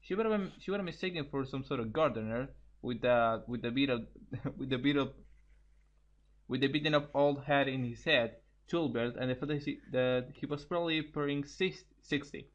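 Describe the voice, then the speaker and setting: A man's voice: mid-range voice, moderate pace, muffled recording. A man reading a story aloud informally, often stumbling and repeating phrases as he goes. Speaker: co-host reading fan fiction aloud.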